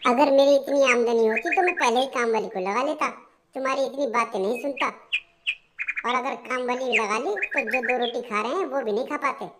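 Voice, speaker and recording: Woman as hard-working husband bird, put-upon, reproachful, slightly high voice, cartoon story, noisy background with chirping. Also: a little fast, lightly acted. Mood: exasperated. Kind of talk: Hindi children's cartoon story acting.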